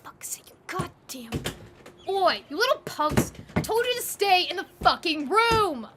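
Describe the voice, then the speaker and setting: A woman voicing a short-tempered, gruff young man who swears and talks abrasively in an audio drama, over background noise. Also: very high voice, big pitch swings, measured pace, voice pushed up, full character voice. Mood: shouting anger. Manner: swearing, brash, loud and abrasive. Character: foul-mouthed, short-tempered, gruff young male grump.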